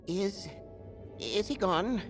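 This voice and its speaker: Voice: mid-range voice, very animated, extremely slow, exaggerated voice. Actor as sheepish monkey helper, game creature, polite, timid, swooping intonation, music behind. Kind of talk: video game voice acting. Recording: music under the voice.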